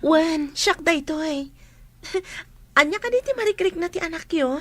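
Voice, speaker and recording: An actress playing a composed, encouraging village doctor in an Ilocano radio drama. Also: female voice, very high-pitched, animated, moderate pace, fairly bright tone.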